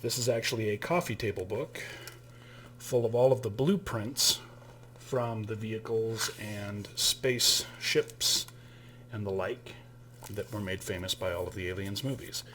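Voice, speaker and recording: A man's voice: lowish voice, measured pace, fairly bright tone, a little hiss or hum. A man hosting his own channel, giving a casual spoken overview of a tabletop game kit, with frequent fillers and restarts. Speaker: channel host and game master.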